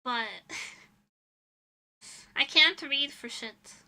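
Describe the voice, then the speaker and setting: A woman's voice: high-pitched, extremely slow, bright, forceful tone, rough recording. A young woman streaming as a VTuber, chatting casually with her viewers in a rambling, giggly way.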